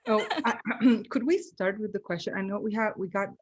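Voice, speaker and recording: A woman's voice: mid-range voice, steady tone, normal speed. A woman co-hosting a podcast, chatting casually, with a little noise in the recording. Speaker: podcast co-host. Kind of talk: casual conversational panel chat.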